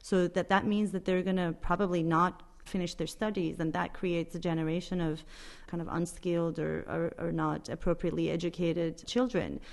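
A woman with a fairly low voice speaks as an interviewed reporter. Her delivery is earnest and explanatory, with emphasis on the points she makes. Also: level tone, moderate pace, gravelly. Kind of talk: spoken radio interview, explanatory.

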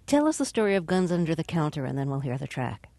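A woman hosts a radio interview and speaks in a measured, thoughtful way. Her voice is slightly low. The sound is close and dry. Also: very animated, warm tone, no room sound. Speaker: radio host.

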